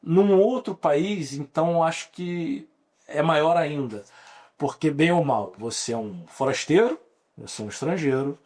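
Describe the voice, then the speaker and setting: A man's voice: fairly high pitch, a little slow, darkish tone. A Brazilian man in his forties, a lawyer guest on a podcast, speaking with a Brazilian Portuguese accent and explaining at length with frequent restarts. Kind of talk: podcast interview.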